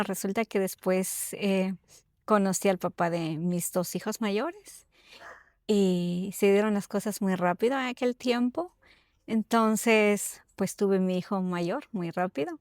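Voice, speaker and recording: Mujer ecuatoriana de mediana edad, sonido seco. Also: female voice, medium pitch, a little mellow, dry recording, studio quality. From Ecuador. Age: middle-aged. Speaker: interview guest. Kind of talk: personal storytelling in conversation.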